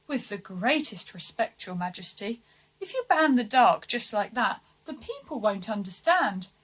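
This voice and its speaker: A woman plays a scheming royal adviser from a children's storybook, speaking in a high, lively voice. The adviser is courteous and persuasive, taking time over each careful phrase. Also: measured pace, breathy edge, heavily acted, low-quality recording.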